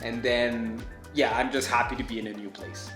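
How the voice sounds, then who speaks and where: A man's voice: medium pitch, little pitch movement, moderate pace, over music. Easygoing Québécois man, echoey room. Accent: Québécois French. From Quebec, Canada. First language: French. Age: 30s. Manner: easygoing, laughs often, says "like" a lot. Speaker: travel vlogger. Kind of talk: casual chat.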